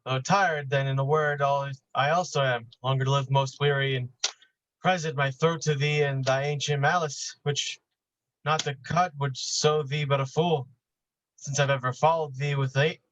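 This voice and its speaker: A man's voice: mid-range voice, fairly even intonation, moderate pace, a bit bright, highs cut off, a little hiss or hum, lightly acted. An actor playing a weary, bitter man defying his hated enemy in grave, declamatory Shakespearean verse. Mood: weary, defiant. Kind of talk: Shakespearean staged reading.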